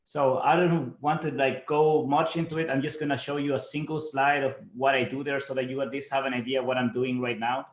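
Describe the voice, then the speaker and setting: A man's voice: mid-range voice, level tone, brisk, few pauses, roomy sound. A young man from El Salvador giving an online webinar presentation, talking casually with lots of fillers like "like" and "you know". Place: home office, based in Germany.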